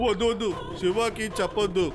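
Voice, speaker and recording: A man voices an old cartoon grandfather with a high-pitched voice. He is naive, excitable and flustered, often repeating words, with music playing clearly behind him. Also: fairly quick, lightly acted. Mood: anxious, determined. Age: older. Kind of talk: cartoon dub acting. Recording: loud music underneath.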